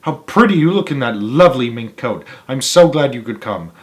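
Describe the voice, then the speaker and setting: A man's voice: mid-range voice, lively intonation, close to an everyday voice. A man voicing an eccentric, bubbly little chocolate-maker from a storybook, effusive and full of exclamations. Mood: gushing. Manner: effusive, exclamatory, rapid strings of pleasantries. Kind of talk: storybook read-aloud character voice.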